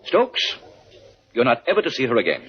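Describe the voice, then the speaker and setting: An actor playing a proud, pompous colonel, a jealous rival, in a declamatory 1950s radio drama style. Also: male voice, mid-range voice, huge pitch swings, moderate pace, husky. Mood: stern, threatening. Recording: dull old radio recording.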